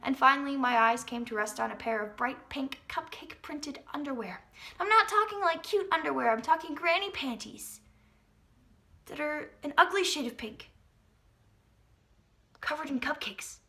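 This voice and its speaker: Bright, high-pitched young woman storyteller. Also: expressive, normal speed. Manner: theatrical, emphatic delivery. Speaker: vlogger, storyteller. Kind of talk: dramatic comedic storytelling to camera.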